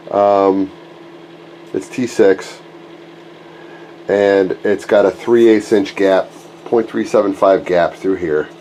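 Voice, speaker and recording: A man's voice: lowish voice, darkish tone, slight background noise. A man in his forties, congested from a cold, chatting casually in a rambling, self-correcting way while filming in his home workshop on a handheld camera. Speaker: RC plane hobbyist and channel host. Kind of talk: casual workshop vlog chat. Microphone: handheld camera held close.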